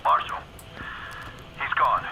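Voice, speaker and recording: A man's voice: slow, noisy background, lightly acted. An actor playing a seasoned, cool-headed male field operative reporting in, in a cinematic action game. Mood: grave, controlled.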